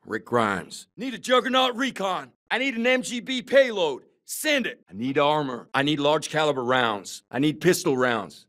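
A grim, battle-hardened male survivor in a military shooter game. His voice sits fairly high for a man and swings widely in pitch. He snaps out terse, commanding combat callouts. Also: big pitch swings, moderate pace, hardly any pauses, sharp tone. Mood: focused, businesslike. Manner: terse, commanding, urgent barks. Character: grim, hardened middle-aged male survivor turned soldier. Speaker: video game voice actor.

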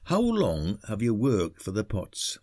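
A man's voice: fairly low pitch, huge pitch swings, unhurried, a little mellow, slight background noise. An actor playing a reluctant, wry retired village detective, an older man with an understated manner, in a podcast drama. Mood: probing. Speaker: lead actor.

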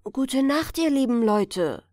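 A gentle, aging woman of fairy tale, played by a woman reader. She is polite and softly pleading, in a fairly high, lilting voice. The pace is unhurried, as in a storybook. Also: animated, no echo, studio quality. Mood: friendly.

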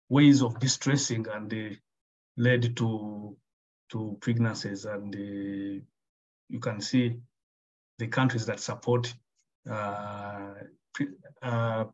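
A man's voice: medium pitch, darkish tone. A man in his forties from Uganda giving a webinar lecture as a guest specialist, hesitant with many fillers and restarts. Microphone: video call. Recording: dull lo-fi call audio.

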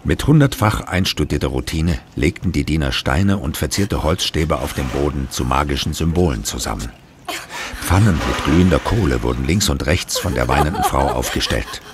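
An actor as a grave storyteller, narrating a horror audio drama in a solemn, suspenseful manner. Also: male voice, a bass voice, normal speed, background noise.

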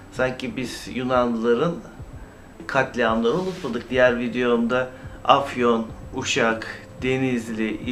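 A man's voice: mid-range voice, fairly bright tone. Man commentating over noticeable background noise. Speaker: YouTuber, video commentator. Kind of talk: opinionated informal commentary to camera. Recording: clear background noise, amateur recording.

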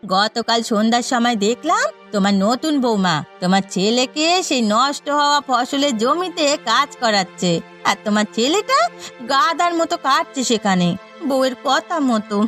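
A meddling village gossip played by a woman in a cartoon drama, pitched high and needling. She insinuates and stirs up trouble over faint music. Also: expressive, unhurried, a bit bright. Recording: music behind the voice.

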